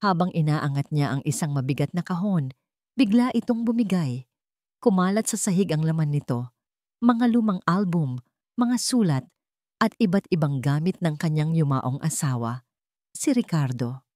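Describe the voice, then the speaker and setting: A woman's voice: sits low, animated, normal speed, a little mellow, no echo, clean studio sound. A woman reading a scripted dramatic story as its narrator.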